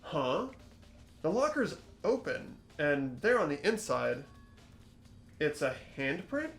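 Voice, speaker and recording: Casting: amateur playing puzzled, earnest schoolboy detective in a mystery game; animated mid pitch, hesitant delivery. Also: slow pace, gravelly, soft background music, heavily acted. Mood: surprised. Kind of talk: amateur fan voice acting of a game script.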